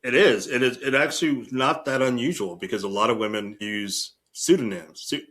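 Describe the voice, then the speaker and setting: A man's voice: mid-range voice, brisk, a bit bright. A man hosting a podcast interview in a conversational style.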